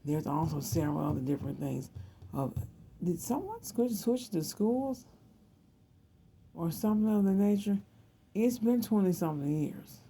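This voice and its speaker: An American speaker in their thirties with a very dark, mellow tone and plenty of gravelly fry. The delivery is a casual, wandering monologue to viewers, full of repeated phrases and side trips. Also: medium pitch, animated, moderate pace, long pauses. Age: 30s. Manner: repeats words and phrases, digressive. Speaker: tarot and psychic reading video host.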